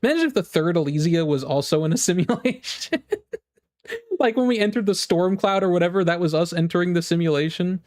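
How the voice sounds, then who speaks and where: Higher-voiced man reacting excitedly. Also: brisk. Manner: excitable, rambling, slangy. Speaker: video game reaction host. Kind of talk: casual live reaction commentary. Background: music from the game trailer.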